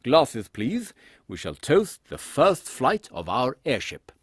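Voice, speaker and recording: A man playing a courteous, dutiful airship captain in an audio drama, speaking with formal military politeness in a husky voice. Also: mid-range voice, huge pitch swings, takes it slowly, no echo, studio quality, exaggerated voice. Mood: courteous, brisk.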